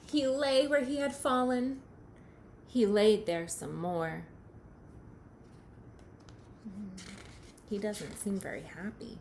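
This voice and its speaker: A woman teacher reading a picture book aloud to young children, expressive and questioning. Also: mid-range voice, slight background noise. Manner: expressive, pauses to question the listener.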